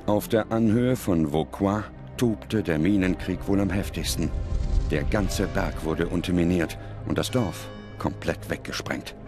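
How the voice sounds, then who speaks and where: Male documentary narrator, low-pitched, measured script read over music. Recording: music under the voice.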